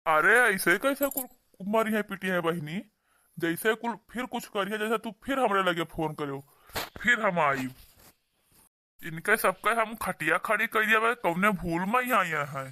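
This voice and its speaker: Cartoon village brother , acted by a man: Awadhi accent, high-pitched, extremely sharp, scolding and protective, very dry sound. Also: expressive, unhurried, no echo, full character voice. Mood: indignant, urging. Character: protective, indignant younger brother from a poor village. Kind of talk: cartoon dialogue.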